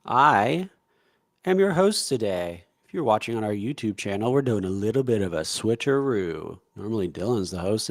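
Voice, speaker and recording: A man hosting a casual sports podcast from his home, talking in a relaxed, rambling way. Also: on the low side, no room sound, studio quality. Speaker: podcast host. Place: home room by back door.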